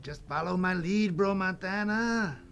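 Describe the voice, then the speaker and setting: A man playing War as a slick, cocky bro in a comedic audio drama, coaching in slangy talk with a gravelly edge. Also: high voice, a little slow, a bit bright, slight background noise. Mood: smooth scheming.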